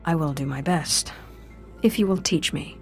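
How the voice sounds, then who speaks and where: An actress playing a respectful, determined Sith apprentice in a video game, speaking formally and earnestly. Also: female voice, low voice, moderate pace, close to an everyday voice. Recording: long hall reverb, music behind.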